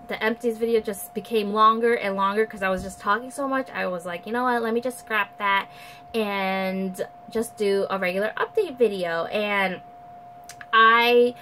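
A woman's voice: fairly high pitch, a bit bright, a little hiss or hum, rough recording. A young woman with a nasal voice chatting casually to camera in her bedroom, rambling with fillers and restarts. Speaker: vlogger. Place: bedroom at home, indoors. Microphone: camera at close range.

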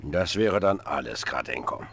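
A man's voice: very deep voice, animated, moderate pace, gravelly, husky, full character voice. A middle-aged man playing a stern, impatient Soviet dictator with a curt, commanding manner in a dubbed video game cutscene.

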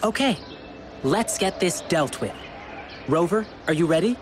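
Young male game hero, earnest, friendly, reassuring, expressive melody in the voice, music behind. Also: high voice, a little slow. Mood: determined, friendly. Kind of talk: video game character dialogue.

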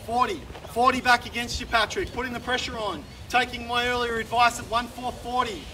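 A man in his thirties with an Australian accent, calling a live house auction outdoors in brisk, persuasive patter that keeps repeating the bids. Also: very high-pitched, level tone. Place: outdoors on a suburban street in front of a house. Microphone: camera filming from some distance, no mic seen. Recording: distant voice with loud street and traffic noise.

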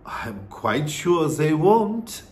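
A man plays a wise old grandmother from a storybook. The delivery is soft, hushed and unhurried, with a calm, knowing temper. The words come in a measured, reassuring way. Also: on the high side, full character voice. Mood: calmly knowing.

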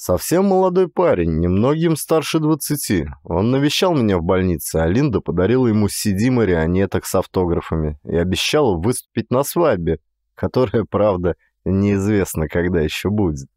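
A man's voice: deep voice, expressive, normal speed, a little mellow, no echo, studio quality. A man giving dramatic scripted narration as an audiobook narrator.

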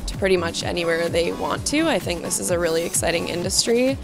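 Enthusiastic young woman, gravelly low voice. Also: lively intonation, fast. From Victoria, Canada. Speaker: university student. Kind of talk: on-camera interview comments. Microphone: handheld interviewer mic. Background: background music.

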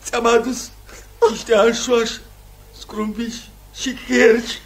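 An actor playing an exhausted, dejected middle-aged gentleman in a radio play. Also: extremely high pitch, level tone, slight background noise, rough recording. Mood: weary, defeated. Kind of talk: radio theatre acting.

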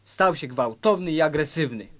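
A man acting a cool, calculating lover who plots crime in a satirical radio play, deliberate and instructing. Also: fairly high pitch, animated, fairly bright tone.

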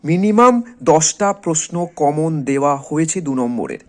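A man's voice: a little high, lively intonation, normal speed. A man with a Bengali accent from West Bengal teaching an exam lesson to school students, repeating phrases and asking check questions. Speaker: history teacher, online exam coach.

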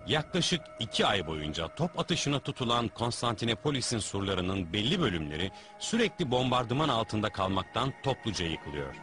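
A man's voice: lowish voice, sing-song, normal speed, few pauses. A man reading scripted documentary narration. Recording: music bed under narration.

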